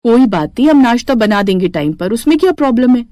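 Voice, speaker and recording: A woman's voice: on the high side, sing-song, fast talker, mellow, no room sound. A woman voicing a young newlywed daughter-in-law, questioning and put-upon, in a cartoon moral story. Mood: puzzled.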